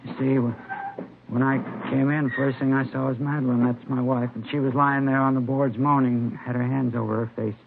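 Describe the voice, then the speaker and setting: Actor as a gravelly middle-aged American shopkeeper. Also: male voice, mid-range voice, flat delivery, normal speed, natural voice. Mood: shaken. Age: 50s. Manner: plain-spoken, rambling. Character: mild, shaken middle-aged newsstand shopkeeper, earnest and talkative. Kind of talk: 1950s radio drama acting. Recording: noisy, muffled vintage radio recording.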